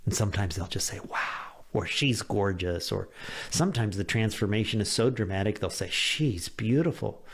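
An American man in his fifties preaching a devotional message as a pastor, earnest and deliberate, with emphatic phrasing, close to a microphone in a small room. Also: fairly low pitch, expressive, gravelly, breathy edge. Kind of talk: devotional sermon to camera. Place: small home office, indoors. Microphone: close studio microphone on a boom arm.

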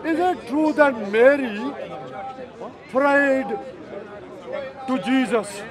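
A man's voice: very high voice, extremely slow, a crowd in the background. An older man debating outdoors in a park, insistent and repetitive in his questions. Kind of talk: street debate.

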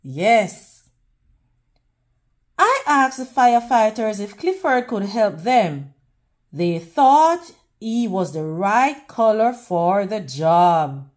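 A woman reading a picture book aloud to children in a deliberate, teacherly way, pausing to put questions to them. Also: mid-range voice, sing-song. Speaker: children's read-aloud host.

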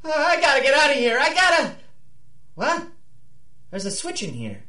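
High-voiced, nervous young male crook. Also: huge pitch swings, moderate pace, pushed and bright, recorded from a distance, heavily acted. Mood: panicked. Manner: hesitant, protesting, exclaiming. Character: nervous young male locksmith, reluctant novice burglar. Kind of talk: 1930s radio drama acting. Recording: roomy, slightly off-mic.

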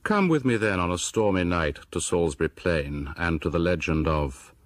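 An actor playing a sinister host of a house of horror, narrating in a theatrical, measured, ominous way. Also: male voice, deep voice, expressive, dry recording. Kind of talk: scripted radio horror narration.

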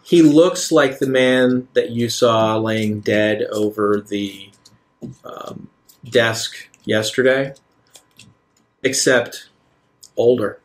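A man narrating a tabletop game as game master, improvising descriptions in a halting way. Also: mid-range voice.

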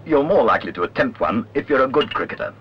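A man reading a literary documentary narration in a measured, wry way. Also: mid-range voice, sing-song. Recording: dull lo-fi old film soundtrack, clear noise.

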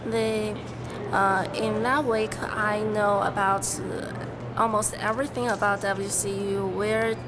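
A young woman from China speaks English carefully, stopping often to search for words. Her voice sits at a middle pitch. Traffic sounds carry clearly behind her. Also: fairly even intonation. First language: Chinese. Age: young adult. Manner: careful, hesitant, halting. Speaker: international student. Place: university building lobby.

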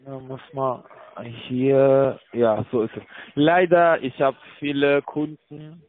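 A man working as a call centre agent talks casually over a narrow phone line. His voice is medium in pitch. He speaks haltingly, stumbling and repeating words in broken phrasing. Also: fairly even intonation.

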